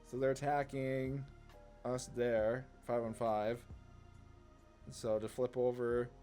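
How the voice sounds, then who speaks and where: A man giving casual gameplay commentary, rambling informally as he thinks through each move out loud. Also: mid-range voice, little pitch movement, slow, faint music behind. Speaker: gaming content creator, player.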